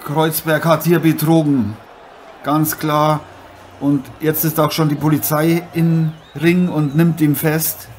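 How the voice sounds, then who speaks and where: A man playing an excitable boxer turned commentator, melodramatic and repeating exclamations in improvised parody live commentary. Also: on the high side, takes it slowly, slight background noise. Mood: indignant. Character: excitable American boxer Sugar John commentating, theatrically shocked. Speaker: boxing commentator.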